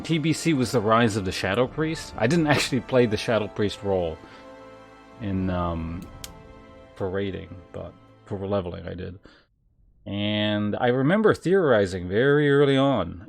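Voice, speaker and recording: A man with a fairly low voice gives casual livestream commentary on a video game. He rambles conversationally with a wry streak. Some music plays behind him. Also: creaky.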